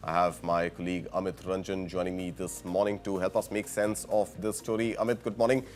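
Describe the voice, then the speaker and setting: A man's voice: low voice, little pitch movement, brisk, faint music behind. A man with an Indian accent presenting the news and interviewing as a broadcast anchor.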